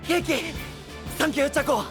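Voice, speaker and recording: High, animated, boyish young hero. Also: male voice, normal speed, over music. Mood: determined. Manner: boyish, casual, hot-blooded. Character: energetic, reckless young male hero, good-natured. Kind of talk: Japanese anime-style voice drama acting.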